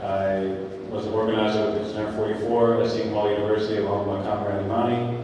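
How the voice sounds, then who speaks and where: A young male organizer introducing himself to the crowd in a flat, measured way. His voice is low and even, picked up from far off in a big echoing hall with clear noise. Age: young adult. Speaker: panelist and organizer. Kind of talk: panel self-introduction. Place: large hall at a live event.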